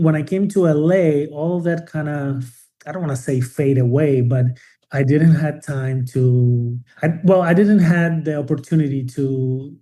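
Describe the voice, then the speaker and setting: A man's voice: on the high side, dark tone. A man in his forties, a native Ukrainian speaker raised in Cuba and Ukraine, chatting thoughtfully as an interview guest, often restarting sentences. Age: 40s. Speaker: podcast guest, photographer. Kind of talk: relaxed interview conversation.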